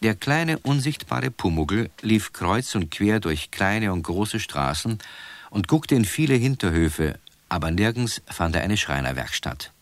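A male storyteller narrates a children's tale. His voice is very low, with lively rises and falls, and it sounds dry. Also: unhurried, no echo.

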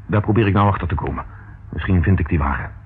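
A man's voice: deep voice, fast talker, close to an everyday voice. An actor playing a dogged, polite male police detective in a Dutch radio play, inquisitive and businesslike. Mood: brisk. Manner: inquisitive, businesslike, thinking aloud. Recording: tinny, band-limited old radio recording with noise.

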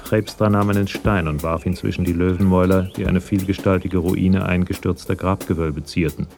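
An actor playing the sober, detached narrator of a German radio crime drama. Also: male voice, low-pitched, steady tone, brisk, vocal fry, music in the background. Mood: sober, tense.

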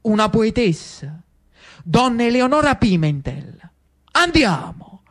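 Woman acting bossy young Neapolitan gentleman. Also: fairly high pitch, sing-song, takes it slowly, pitched above the performer's own voice, exaggerated voice. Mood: proud. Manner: instructive, insistent, repeating himself. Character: worldly young Neapolitan gentleman, bossy guide, proud and scolding. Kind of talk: theatrical storytelling.

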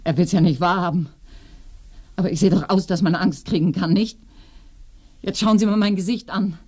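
Dark, husky, haunted woman played by an actress. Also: fairly low pitch, fairly even intonation, moderate pace, close to an everyday voice. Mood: anguished shame. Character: haunted, guilt-ridden reclusive woman in a dead village. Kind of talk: German radio play acting.

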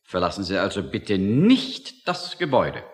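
A man's voice: low-pitched, big pitch swings, measured pace, music in the background. An actor in a radio drama playing an officious, authoritative male official with a formal manner, coolly issuing orders. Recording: long echoing hall reverb.

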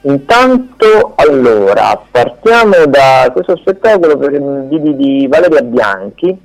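A man chatting unscripted on the radio as he runs through theatre listings, halting and often correcting himself. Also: mid-range voice, very animated, gravelly, soft background music, poor audio. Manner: hesitant, halting, self-correcting. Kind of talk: unscripted radio chat, event rundown.

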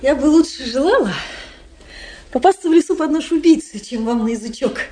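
High, swooping young princess. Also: female voice, animated, fairly quick, dark tone, reverberant. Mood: hurt reproach. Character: proud, wounded young aristocratic princess. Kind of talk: Russian radio drama acting.

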